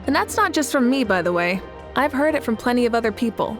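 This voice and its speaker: A woman's voice: a little high, expressive, fast, over music, natural voice. A woman voicing a fiery, confident female leader and tournament host who speaks with passion and a teasing edge. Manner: self-assured and passionate, teasing. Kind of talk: game cutscene voice acting.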